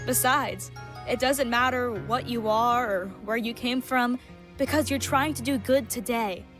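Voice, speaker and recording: A woman's voice: on the high side, a little slow, fairly bright tone. A woman voicing a confident, loyal young heroine in a fan dub, speaking with energy. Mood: reassuring. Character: bold, upbeat young heroine, loyal and encouraging. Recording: music under the dialogue.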